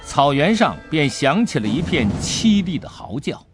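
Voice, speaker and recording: Male audiobook narrator, expressive dramatic storytelling, highly animated pitch, mid-range voice, clear music behind. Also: moderate pace.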